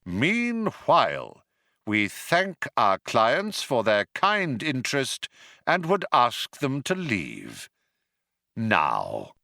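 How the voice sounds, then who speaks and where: A man as ancient sinister announcer, reedy, hollow, slow formal courtesy, raised pitch, sci-fi audiobook. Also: wildly swooping pitch, sharp tone, dry recording, studio quality, exaggerated voice. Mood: sharper menace. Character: old, courteous, menacing male announcer of a dead planet.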